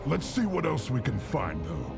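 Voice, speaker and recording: An actor playing a gruff, grim and honorable orc warrior hero in a video game, with a gravelly, plain-spoken delivery. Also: male voice, mid-range voice, wildly swooping pitch, moderate pace. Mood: gruff, appraising. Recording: loud game music behind voice.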